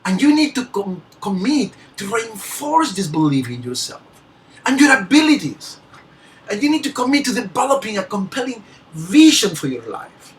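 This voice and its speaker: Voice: medium pitch, big pitch swings, moderate pace, far from the mic, rough recording. A motivational speaker from Peru with a Peruvian Spanish accent and Spanish as a first language. They give an earnest, emphatic speech, laughing lightly and restarting words now and then.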